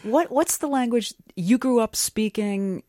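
A man's voice: very high voice, a little slow, darkish tone, no echo, studio quality. A man hosting a conversational radio interview, asking curious questions and reading out listener comments. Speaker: radio show host.

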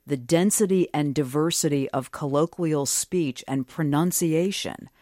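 A man's voice: a little high, unhurried, darkish tone, no room sound, clean studio sound. A man hosting a conversational radio interview, asking curious questions and reading out listener comments. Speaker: radio show host.

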